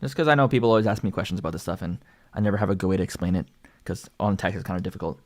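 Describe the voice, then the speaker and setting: A man's voice: low-pitched, rapid-fire, a little mellow. A young man with a Canadian accent, a singer chatting casually about his own vocal progress, rambling with many fillers. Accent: Canadian English. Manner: rambling and filler-heavy, lots of likes and ums. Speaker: singer and channel host. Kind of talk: casual livestream commentary.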